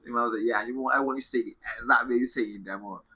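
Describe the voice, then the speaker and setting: A man from Barbados with a Bajan accent and a husky voice, a soca singer chatting casually in dialect in an interview. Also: mid-range voice, steady tone, very fast, very noisy.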